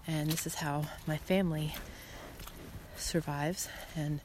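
Low-pitched woman podcasting over noticeable noise. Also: steady tone, slow pace. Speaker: podcast host. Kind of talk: casual solo podcast monologue. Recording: clearly noisy background, some clicks.

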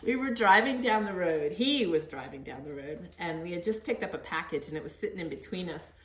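A woman's voice: lowish voice, expressive, a little fast, a bit bright, roomy sound. A middle-aged woman hosting a casual conversational interview in an encouraging manner. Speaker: podcast host interviewing her son.

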